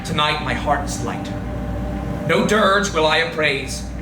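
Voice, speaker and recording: A man acts out an indignant, grieving lover, defiant and scornful. He declaims the verse theatrically at a slow pace in a highish male voice. The sound is roomy and echoing, with music behind. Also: noisy background, close to an everyday voice. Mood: defiant exalted. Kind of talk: dramatic poetry reading. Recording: roomy, distant recording with music.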